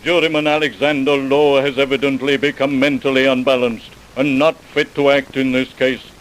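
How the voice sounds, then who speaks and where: A man playing a stern, solemn judge in an old-time radio drama, formal and measured. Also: mid-range voice, little pitch movement, noisy background, natural voice. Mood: stern, grave.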